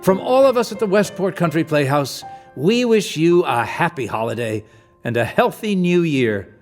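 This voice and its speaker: A man acting as the genial, wistful narrator of a storybook radio play, with theatrical, measured phrasing. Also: a little high, expressive. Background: music.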